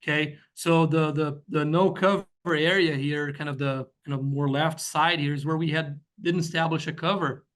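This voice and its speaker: A man's voice: on the high side, steady tone, moderate pace, hardly any pauses, fairly bright tone. A man, a guest weed scientist, giving a slide presentation in a webinar and explaining step by step with frequent "you know" and "right?" checks.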